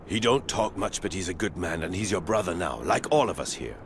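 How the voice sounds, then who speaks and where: An actor playing a gruff, joking chief of a fantasy watch patrol in a video game, teasing and sardonic. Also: male voice, deep voice, expressive, brisk, a little hiss or hum. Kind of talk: video game cutscene acting.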